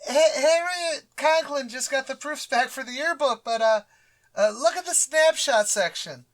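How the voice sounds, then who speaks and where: An awkward teenage boy in a vintage radio comedy. The voice is very high, hesitant and full of fretting. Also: measured pace. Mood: fretful. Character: fretful, awkward teenage schoolboy Walter. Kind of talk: 1940s radio sitcom acting.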